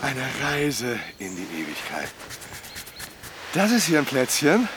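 A man's voice: mid-range voice, huge pitch swings, slow pace, background noise. An actor playing a jovial, chattering camper who goads his companion in a naturalistic radio drama, with a teasing manner. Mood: breezy, upbeat. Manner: teasing, chatty, punctuated by chuckles and tag questions.

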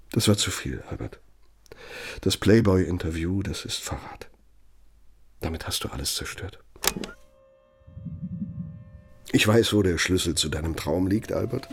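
An actor in a radio drama playing an embittered, proud older German man, a betrayed confidant who speaks with deliberate, sardonic reproach in a gravelly, husky voice. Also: deep voice, full character voice. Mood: wounded, reproachful.

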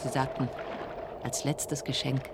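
A man's voice: medium pitch, little pitch movement, measured pace, close to an everyday voice. An actor playing a man telling his story at sea in a radio drama. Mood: reflective storytelling. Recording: radio play with loud water noise.

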